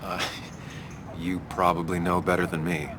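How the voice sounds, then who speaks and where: A man's voice: sits low, flat delivery, unhurried, background noise. An actor playing a guarded, dry-witted American journalist in his thirties, understated, in naturalistic video game style. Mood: guarded, friendly.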